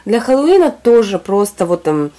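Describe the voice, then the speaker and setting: A woman in her thirties from Russia, hoarse from a cold, chatting casually through a shopping haul at home. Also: medium pitch, big pitch swings, moderate pace, warm tone. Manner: chatty, rambling, talks to herself. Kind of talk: casual product haul chat. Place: home, recording products on a fluffy rug.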